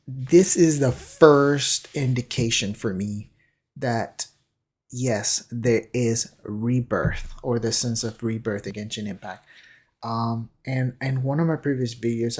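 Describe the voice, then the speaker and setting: A man giving casual analytical commentary on a video, hesitant and halting as he thinks aloud. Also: mid-range voice, darkish tone. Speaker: commentator on a game character trailer.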